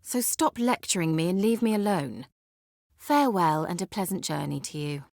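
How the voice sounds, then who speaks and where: A haughty young sorceress from a fantasy game. Her speech is teasing and sardonic, with a very animated, expressive pitch. The sound is dry, with no room around it. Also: moderate pace, creaky, no echo. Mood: angry, scornful. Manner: haughty, teasing, biting sarcasm. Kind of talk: video game character dialogue.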